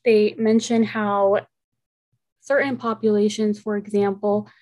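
A young woman whose first language is Spanish, co-hosting a podcast in an earnest, measured way that slows down on key points. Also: mid-range voice, level tone, a little mellow. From Oceanside, California. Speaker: podcast co-host. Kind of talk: podcast discussion.

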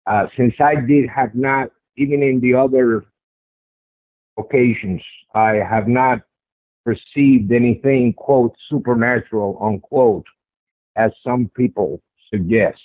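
A thin, phone-like line carries a man's strong, mid-pitched voice, close and dry. He talks as an interview guest, telling his own story conversationally. He repeats words and phrases to drive his points home. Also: slow pace, no room sound. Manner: emphatic, with repeated phrases for stress. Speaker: interview guest recounting a personal sighting. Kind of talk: conversational first-person storytelling. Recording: tinny phone-like radio line.

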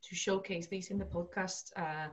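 A woman's voice: lowish voice, fairly even intonation, moderate pace. A woman hosting a podcast interview, enthusiastic and hesitant, with many fillers. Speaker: podcast host. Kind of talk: casual interview chat.

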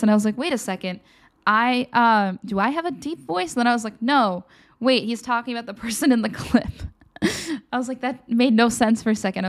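A woman with a fairly high voice hosts a live-streamed show and talks straight to her viewers. She speaks in a loose, unscripted way, giving blunt personal advice like she is chatting with friends. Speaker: host of a livestream show.

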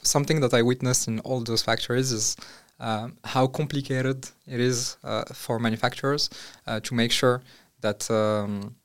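Hesitant man from Morocco, smooth voice. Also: medium pitch, fairly even intonation, moderate pace, hardly any pauses. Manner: hesitant, many fillers and restarts. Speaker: startup founder guest. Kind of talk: casual interview answers.

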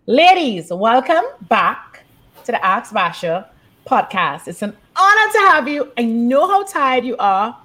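A West Indian woman hosting a podcast, animated and affirming with her panel. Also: fairly high pitch, unhurried. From West Indies. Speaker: podcast host.

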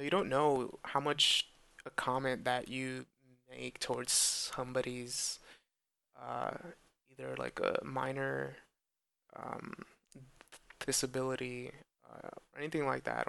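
A young man speaking slowly and earnestly in a personal monologue, with stuttered repeats and blocks. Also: mid-range voice, flat delivery, gravelly, sharp tone, smooth, dry recording. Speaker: podcast host who stutters.

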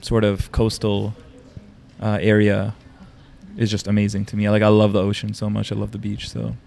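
A man's voice: lowish voice, completely flat delivery, normal speed, gravelly, darkish tone. A man hosting a casual live podcast on a university campus, asking easygoing, curious questions and laughing often. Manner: laid-back, probing questions, laughs often. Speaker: podcast host.

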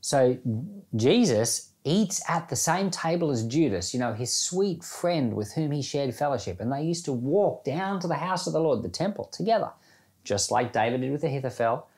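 A man's voice: mid-range voice, animated, normal speed, a little mellow. Conversational male pastor, reflective and plain-spoken. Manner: informal, reflective, plain-spoken, explaining with everyday examples. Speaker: pastor giving Bible podcast commentary and prayer. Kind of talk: conversational devotional sermon.

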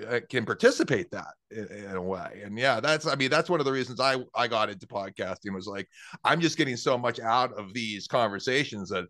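A man's voice: medium pitch, a bit bright, no echo. Chatty man in a dry, close sound. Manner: chatty, filler-heavy, enthusiastic. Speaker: podcast host. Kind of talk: casual interview conversation.

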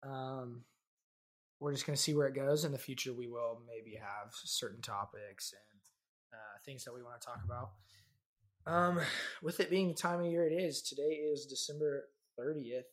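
A man's voice: medium pitch, moderate pace. Young man, twenties, from Kansas, podcast host, casual chat, easygoing. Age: 20s. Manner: loose and conversational, many fillers.

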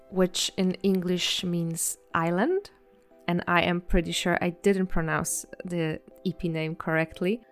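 Podcast host, woman, smooth and upbeat, reads the segment links. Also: on the low side.

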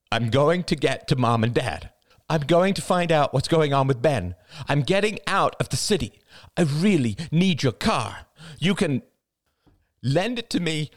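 A narrator putting on a young woman, stubborn and blunt, pressing her point in a raised, animated voice for an audiobook. The sound is dry and close. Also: low voice, no room sound, pitched above the performer's own voice. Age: young adult. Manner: insistent, blunt.

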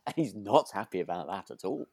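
Male podcast co-host, low-pitched, hesitant and agreeable, casual conversation, dry close sound. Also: lively intonation, fairly quick, a little mellow, slightly rough, no room sound. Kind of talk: casual chat about a radio drama.

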